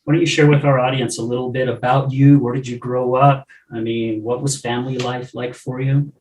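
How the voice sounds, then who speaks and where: A man's voice: mid-range voice, level tone, fairly quick, darkish tone. A man hosts a recovery podcast in an easy, enthusiastic way, laughing and chatting freely. His mid-range voice sits back from the mic in a roomy space, with a faint hiss behind it. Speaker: podcast host. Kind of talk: casual chat. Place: room with a live audience. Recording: roomy, from a distance, faint noise.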